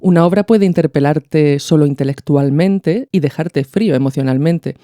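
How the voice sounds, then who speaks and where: Low, mellow-voiced Spanish woman, thoughtful. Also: normal speed, no room sound, clean studio sound. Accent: Peninsular Spanish. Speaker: podcast host. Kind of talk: reflective commentary with a literary reading.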